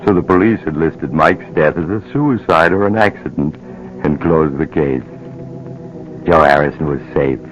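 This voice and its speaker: An extremely deep-voiced narrator telling a vintage radio thriller. His delivery is grave, ominous and deliberate, with faint music behind the words. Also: poor audio. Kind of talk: dramatic old-time radio scripted narration.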